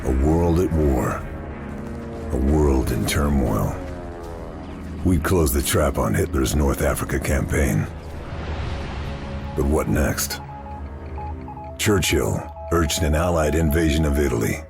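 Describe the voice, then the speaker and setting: Very deep, grim male sniper. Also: creaky, exaggerated voice. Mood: grave, measured. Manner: terse, measured, deadpan. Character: grim, steely Allied sniper agent in World War II. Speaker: narrator and protagonist. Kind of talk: scripted cinematic war-game narration. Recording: music under the voice. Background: cinematic music.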